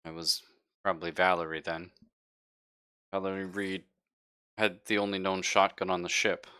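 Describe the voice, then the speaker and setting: A man playing a calm, formal ship's android officer in a tabletop sci-fi game, speaking with measured regret. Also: low-pitched, clean tone. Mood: measured, regretful.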